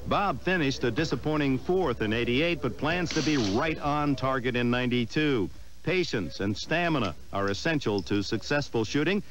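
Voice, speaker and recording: A man's voice: medium pitch, expressive, normal speed, fairly bright tone. A man reading scripted narration for a sports newsreel.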